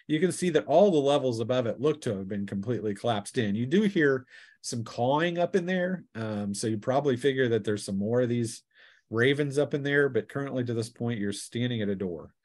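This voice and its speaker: A man with a medium-pitched voice runs a tabletop roleplaying game. He describes scenes and rules off the cuff, explanatory and halting as he works things out. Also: expressive, a little mellow. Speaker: game master. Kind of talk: improvised tabletop game narration.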